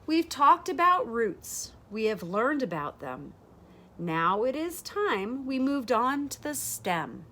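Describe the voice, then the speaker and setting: A woman in her thirties reading a rhyming children's picture book aloud, with deliberate, expressive phrasing for young listeners. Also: on the high side. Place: bright sunroom with large windows, indoors.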